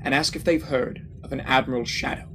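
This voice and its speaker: A man playing a calm, self-assured starship commander in an audio drama, measured and matter-of-fact. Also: medium pitch, a little hiss or hum, close to an everyday voice, rough recording.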